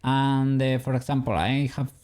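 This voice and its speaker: A man's voice: medium pitch, fairly even intonation, moderate pace, darkish tone. A man from Spain with a Spanish accent, chatting casually with viewers while he works on a 3D model during a stream. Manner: rambling, answers chat, chuckles often.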